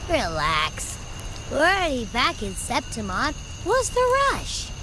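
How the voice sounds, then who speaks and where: Casting: playful, easygoing young female game sidekick, high swooping voice, ambient noise behind. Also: huge pitch swings, slow pace, exaggerated voice. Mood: relaxed, casual. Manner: playful, breezy, casual. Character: carefree, food-loving young female companion, playful and easygoing. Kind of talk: video game voice acting.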